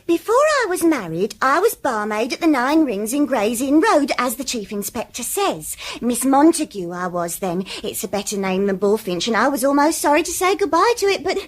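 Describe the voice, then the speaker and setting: An actress playing a coy, garrulous former barmaid in a British radio drama. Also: female voice, high-pitched, sing-song, moderate pace, hardly any pauses. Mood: chatty. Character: gushing, coy married ex-barmaid, cheerfully chatty.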